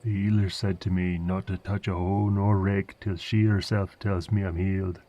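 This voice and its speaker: A man's voice: low-pitched, little pitch movement, moderate pace, dark tone, highs cut off, lightly acted. A man voicing an old, chatty village farmer with a rambling dialect, in a fantasy audio roleplay. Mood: chatty, folksy. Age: older. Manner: folksy, rambling rustic dialect.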